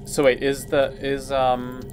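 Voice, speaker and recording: A man's voice: medium pitch, monotone, slow, noisy background. A man playing a tabletop game, asking questions to confirm rules and adding up successes aloud. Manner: methodical, tallying numbers, asking to confirm. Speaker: player.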